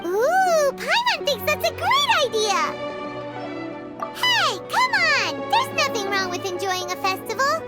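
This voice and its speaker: A woman voicing a tiny, childlike, excitable fairy companion in a video game, perky and squeaky. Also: slow, over loud music, full character voice. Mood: eager. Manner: perky, exclamatory. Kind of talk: video game cutscene acting.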